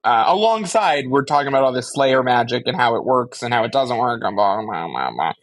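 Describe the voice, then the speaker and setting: A man's voice: medium pitch, very animated, brisk, dry recording. A man co-hosting a podcast, giving wry, opinionated fan commentary in a casual, sweary way. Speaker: podcast co-host.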